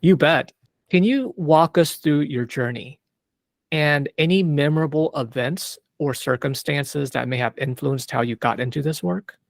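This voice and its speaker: A man hosting a psychology podcast, reading a measured, earnest introduction and asking his guest questions. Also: on the high side, vocal fry, no room sound, studio quality. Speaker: podcast host. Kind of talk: scripted intro then interview questions.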